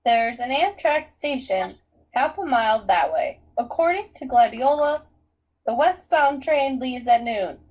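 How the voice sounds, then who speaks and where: A bossy teenage girl from a storybook, read by a woman, dry and no-nonsense. The voice is fairly high and takes its time over each line. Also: animated, a little slow, a little mellow, rough recording. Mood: businesslike. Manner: dry, matter-of-fact.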